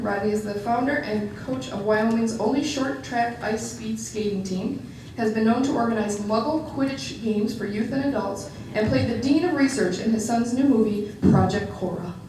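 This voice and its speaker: A woman's voice: on the high side, mellow. A woman formally reading out a speaker's introduction at an event. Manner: formal, reads from script. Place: large hall. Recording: echoey hall, distant mic, background noise.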